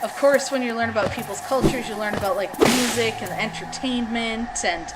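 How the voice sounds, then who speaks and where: A woman's voice: a little high, normal speed, very noisy. A woman in her thirties from Canada, chatting casually with her stream viewers in a rambling way, full of fillers. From Canada (Alberta). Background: loud background music.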